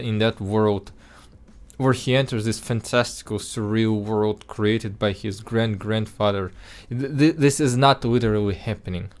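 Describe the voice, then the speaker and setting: A man's voice: fairly low pitch, clear, resonant tone. A man speaking English as a second language with an accent listeners have taken for Russian, talking through a film review in an earnest way, with frequent restarts and filler words. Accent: Russian-sounding non-native English. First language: not English. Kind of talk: casual spoken film review.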